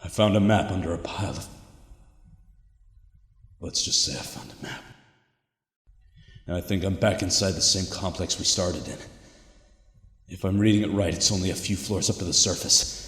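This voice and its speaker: A man's voice: low-pitched, moderate pace, long pauses, fairly bright tone. A man acting a weary, haunted soldier who records a grave, confiding survival log in an audio drama. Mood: determined. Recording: echoey hall with long reverb.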